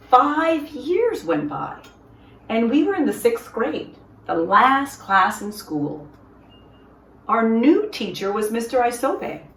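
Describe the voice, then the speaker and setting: A woman reading a children's story aloud in an expressive storyteller's style, with deliberate, dramatic phrasing for young listeners. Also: mid-range voice. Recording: roomy echo, distant from microphone.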